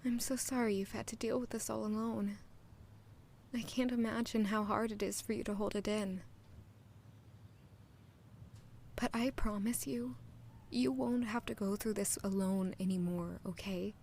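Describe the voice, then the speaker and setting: An actress playing a caring, sociable young maid in an audio drama, speaking softly and earnestly in dialogue and reflective narration. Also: female voice, medium pitch, fairly even intonation, normal speed, creaky, slight background noise, lightly acted. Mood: tender, comforting. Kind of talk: audio drama acting and first-person narration.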